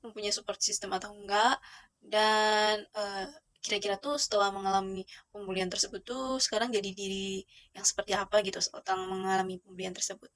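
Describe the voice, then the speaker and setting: A woman's voice: mid-range voice, little pitch movement, fairly quick, a bit bright. A woman acting as interviewer, asking a string of loosely phrased questions.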